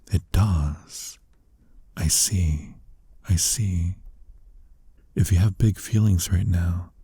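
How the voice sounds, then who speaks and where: Man as a low, husky, soothing incubus. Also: dark tone, no room sound, exaggerated voice. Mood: gentle, probing, then soothing, tender. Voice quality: breathy, husky, often sinking into whispers. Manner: slow, coaxing, reassuring. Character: flirty male incubus demon, tender, soothing, gently dominant. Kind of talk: ASMR roleplay, intimate comforting monologue.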